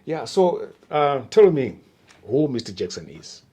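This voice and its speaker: A man's voice: medium pitch, sing-song, unhurried, warm tone, breathy edge. A man hosting an interview on his channel, greeting his guest formally and asking short questions. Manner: polite, formal welcome, short prompts. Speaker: host.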